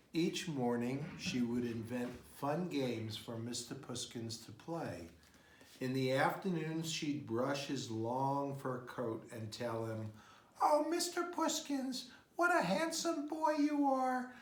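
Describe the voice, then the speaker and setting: Guy reading a kids storybook out loud, takes his time, medium pitch, echoey room. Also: a little hiss or hum. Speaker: storybook reader. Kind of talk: expressive read-aloud children's storytelling. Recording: roomy, echoing sound.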